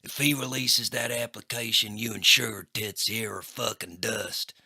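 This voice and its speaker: A man's voice: fairly low pitch, fairly even intonation, moderate pace, vocal fry, sharp tone, breathy edge, dry recording, clean studio sound, pitched above the performer's own voice, full character voice. A man voicing a menacing, foul-mouthed gangster villain in a comedy sci-fi audio play, sneering and coldly threatening.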